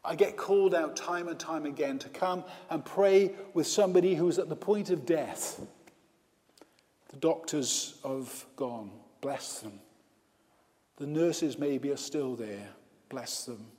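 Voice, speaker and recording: A male priest and hospital chaplain preaching a baptism sermon, slow and emphatic, with repeated lists. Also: a little high, darkish tone. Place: church with long reverb. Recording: reverberant church hall.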